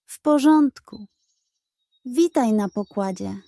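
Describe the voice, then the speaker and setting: A woman voicing a calm, thoughtful animal pirate captain in a children's story. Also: a little high, creaky, dry recording, exaggerated voice. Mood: approving. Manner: measured, thoughtful.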